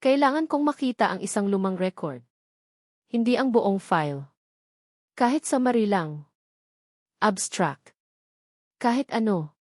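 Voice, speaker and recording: A woman's voice: mid-range voice, expressive, unhurried, pauses often, darkish tone, no echo. An earnest young male doctor in a storybook drama, voiced by a woman narrator. He is determined and insistent, speaking like someone asking a serious favor. The recording is dry and close. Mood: earnest pleading. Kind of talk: storybook character dialogue.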